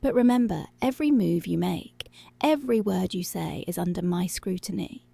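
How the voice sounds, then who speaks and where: A woman playing a dominant, seductive policewoman in an ASMR roleplay, breathy and often whispering, slow, teasing and controlling, close to the microphone. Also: fairly low pitch, warm tone, no echo, exaggerated voice. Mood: stern, teasing.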